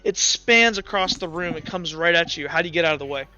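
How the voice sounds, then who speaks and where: A man's voice: a little high, fast talker, pushed and bright, highs cut off, soft background music. A man casually narrating a tabletop roleplaying game as game master, thinking aloud and correcting himself as he goes.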